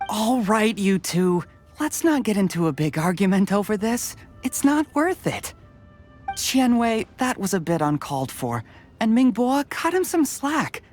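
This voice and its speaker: A woman's voice: medium pitch, sing-song, unhurried, a little mellow, faint music behind. A woman voicing a reasonable, conciliatory young scholar in a video game. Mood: placating.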